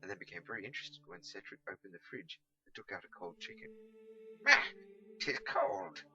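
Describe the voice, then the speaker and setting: A man's voice: low voice, steady tone, fast talker, gravelly, dull audio. A man reading a children's novel aloud as scripted storybook narration. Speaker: amateur audiobook reader.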